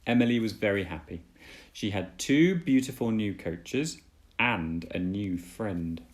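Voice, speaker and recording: A man reading a children's storybook aloud as a dad would, with deliberate, dramatic storytelling. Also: fairly low pitch, animated.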